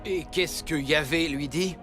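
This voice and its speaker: An actor playing an impulsive son of a biblical patriarch, theatrical and expressive, in a cartoon dub. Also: male voice, fairly high pitch, measured pace, over music, lightly acted. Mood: anxious. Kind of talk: dubbed biblical cartoon acting.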